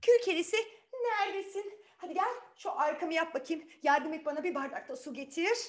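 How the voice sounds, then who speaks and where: Woman putting on a shrill, vain young stepsister. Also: a little fast. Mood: vain, demanding. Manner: gushing, self-admiring, full of sighs and exclamations. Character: vain, whiny, bossy young stepsister, spiteful and syrupy.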